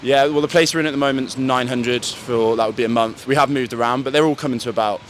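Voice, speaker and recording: A man's voice: medium pitch, fairly even intonation, a little hiss or hum. A man from England chatting easily and upbeat in a street interview. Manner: quick, upbeat, easygoing. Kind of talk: casual chat.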